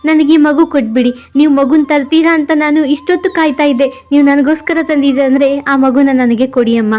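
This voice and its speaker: A woman's voice: high-pitched, steady tone, brisk, darkish tone, soft background music, natural voice. A woman voicing a childless young village wife in a cartoon, pleading and longing.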